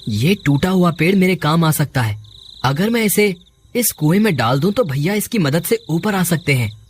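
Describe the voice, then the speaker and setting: A man's voice: on the high side, animated, normal speed, slight background noise, lightly acted. A man playing anxious, earnest village brothers in a cartoon horror story, speaking in a dramatic, exclaiming way. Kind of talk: Hindi cartoon story acting.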